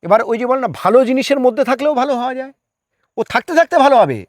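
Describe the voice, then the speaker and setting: A man's voice: very high voice, fast talker, no echo, studio quality. A man speaking earnestly as an interview guest, explaining his opinions emphatically with rhetorical questions.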